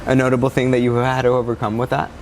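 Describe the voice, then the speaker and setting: A man with a mid-range voice hosts a relaxed interview over cocktails. He talks casually and warmly, with a touch of swearing. A little background noise comes through. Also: medium pitch, rapid-fire. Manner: laid-back, friendly, casual swearing. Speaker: podcast host.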